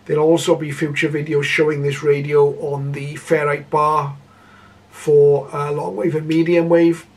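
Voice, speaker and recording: Older male hobbyist presenter, measured and hesitant, even pitch, recorded from a short distance. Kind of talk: casual video introduction. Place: indoor room full of radio equipment. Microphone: camera a short distance away. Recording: slightly distant camera microphone.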